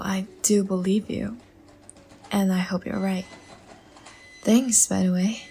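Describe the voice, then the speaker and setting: A woman playing an ageless, wistful vampire in an intimate ASMR audio roleplay, speaking softly and breathily in a musing, refined way. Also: mid-range voice. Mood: tender, teasing. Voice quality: soft, breathy, whispery. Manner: slow, musing, gently formal with pauses.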